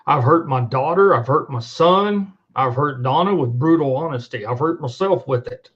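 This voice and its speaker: A man's voice: mid-range voice, expressive, moderate pace, highs cut off. A middle-aged man hosting an online show, delivering an unscripted rant in a blunt, profane, emphatic and repetitive way. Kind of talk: unscripted monologue rant to camera. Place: indoors at a computer.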